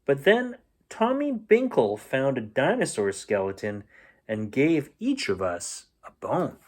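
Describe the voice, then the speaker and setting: A man reading a children's storybook aloud in an expressive, playful storytelling manner. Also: mid-range voice, unhurried. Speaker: bedtime story reader.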